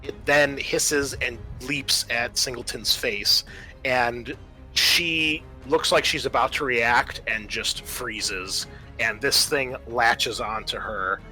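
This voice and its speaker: A man running a tabletop roleplaying game as game master, narrating in a halting, deliberate way. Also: mid-range voice, sharp tone, faint music behind, poor audio.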